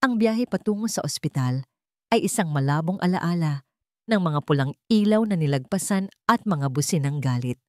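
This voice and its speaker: A woman's voice: low-pitched, lively intonation, normal speed, a little mellow, dry recording, studio quality. A woman reading a dramatic scripted story as its narrator.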